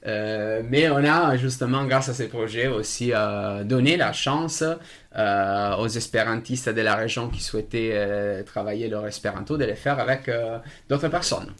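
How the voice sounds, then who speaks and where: A man whose first language is Italian, talking in a radio discussion, slow and halting with many hesitations. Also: lowish voice, fairly bright tone. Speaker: association member.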